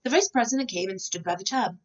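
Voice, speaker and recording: A woman reading a children's picture book aloud as an expressive storyteller, with a slightly distant, dull recording. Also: medium pitch, quick pace.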